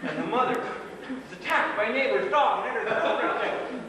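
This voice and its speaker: High-voiced folksy father played by a man, echoing stage. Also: lively intonation, normal speed, a loud crowd. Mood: earnest storytelling. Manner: folksy, rambling storyteller. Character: folksy father spinning grim storm tales, earnest and nosy. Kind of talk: amateur stage play acting. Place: small theatre stage, recorded from the audience. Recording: echoey theatre stage from far off, noisy.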